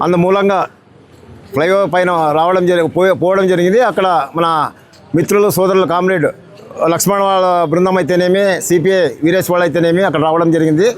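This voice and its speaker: A man with a Rayalaseema Telugu accent making a direct, demanding protest statement as an activist. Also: high voice. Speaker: activist speaker.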